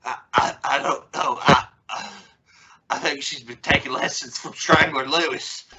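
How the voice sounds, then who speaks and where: A man's voice: mid-range voice, fairly even intonation, unhurried, highs cut off, lightly acted. A man playing an exasperated, stammering father in an old-time radio family comedy. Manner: stammering, hesitant, blustering. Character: flustered, exasperated, good-natured suburban father and husband. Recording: very noisy radio-play recording.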